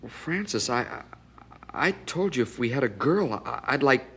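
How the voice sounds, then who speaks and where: A man's voice: medium pitch, very animated, measured pace, fairly bright tone, slightly rough, heavily acted. An actor playing an earnest, flustered young husband and expectant father in a period audio drama, stammering a little. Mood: tender, hesitant. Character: excitable, devoted young husband and expectant first-time father.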